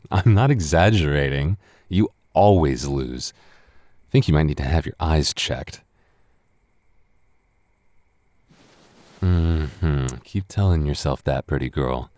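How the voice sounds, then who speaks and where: Very deep-voiced flirty tipsy roommate played by a man. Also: a little mellow, no echo. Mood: cocky teasing.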